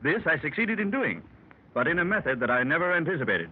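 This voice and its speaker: A genteel middle-aged explorer who tells his adventure to gentlemen at a club in polished, formal phrases. His voice is a little high and swoops up and down expressively. It comes through a dull, muffled 1930s radio transcription with faint hiss. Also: big pitch swings, fast talker. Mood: measured. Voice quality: formal, clubby storyteller. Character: learned explorer Doctor Roberts, middle-aged man. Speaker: explorer recounting his expedition. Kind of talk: 1930s radio drama acting.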